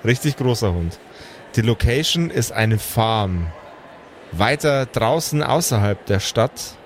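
A man's voice: mid-range voice, animated, slow. Game master, male, middle register, improvised tabletop narration, noisy backdrop. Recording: clear background noise.

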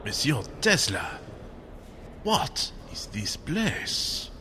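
Awestruck french artist, courteous, slow, really swoopy, radio adventure. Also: male voice, mid-range voice, very animated, sharp tone, slight background noise, heavily acted. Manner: halting, wondering, courteous. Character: bewildered French gentleman painter, awestruck and courteous. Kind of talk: old-time radio adventure acting.

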